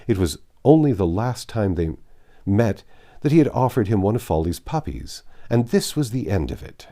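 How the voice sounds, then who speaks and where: A man voicing a blustering, indignant country gentleman in an emphatic, exclamatory way, in an audiobook of a classic novel. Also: lowish voice, very animated, normal speed.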